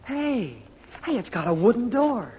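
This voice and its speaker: A male actor playing an eager, anxious boy in a 1940s radio adventure, earnest and excitable. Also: very high-pitched, unhurried, heavily acted. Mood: excited, nervous. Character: eager, anxious boy searching for his lost father. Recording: muffled old radio recording, faint noise.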